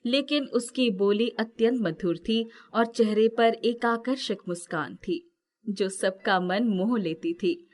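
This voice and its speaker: High-pitched, unhurried woman storyteller. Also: a little mellow. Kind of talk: scripted moral fairy-tale narration.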